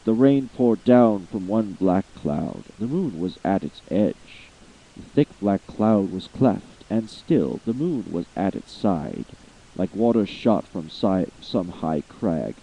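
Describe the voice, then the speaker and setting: Deep, husky man reading verse dramatically. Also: slow pace, low-quality recording. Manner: expressive, emphatic, lingering on repeated words. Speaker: amateur voice actor reading a poem.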